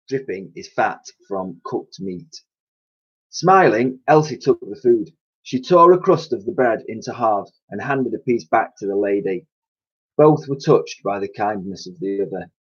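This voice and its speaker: A man reading a children's story aloud as a teacher would, in an animated narrating style. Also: lowish voice, breathy edge. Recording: dull lo-fi recording.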